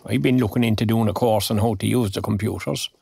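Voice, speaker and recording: A male actor playing a friendly, talkative retired man in an audio drama, an older Irish voice, husky and gravelly, with old-fashioned turns of phrase. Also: fairly low pitch, steady tone, fast talker, a little mellow, slight background noise. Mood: chatty, curious. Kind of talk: naturalistic audio drama acting.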